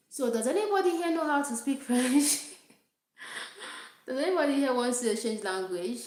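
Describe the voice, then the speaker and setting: A woman's voice: mid-range voice, darkish tone. A young Nigerian woman with an accent, chatting casually and rambling on a livestream, often laughing at herself. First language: Igbo. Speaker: vlogger. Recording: very echoey room.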